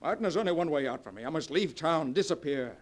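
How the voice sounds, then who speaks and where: An actor as a desperate husband, wildly swinging pitch. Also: male voice, lively intonation, fast, close to an everyday voice. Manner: melodramatic, emphatic, weary. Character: desperate, embittered husband trapped by a clinging wife. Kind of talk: 1940s radio acting. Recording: noisy 1940s radio recording.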